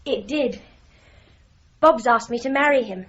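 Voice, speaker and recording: A frank, slightly sheepish young woman, voiced by a woman with a fairly high voice. in a radio drama. The recording is dull and lo-fi. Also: measured pace. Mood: candid. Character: young woman, candid and relieved.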